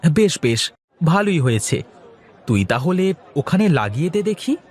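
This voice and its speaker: A man's voice: fairly high pitch, sing-song, measured pace. A man voicing a self-important cartoon village council head, authoritative but easily flustered, sometimes repeating his words. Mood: decisive. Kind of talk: cartoon voice acting.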